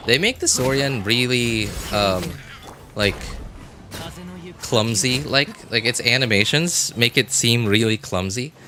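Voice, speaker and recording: A man's voice: medium pitch, slow pace, pushed and bright. A man doing casual live gameplay commentary, thinking aloud in short informal remarks and chatting with his viewers. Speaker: game livestreamer. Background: game music.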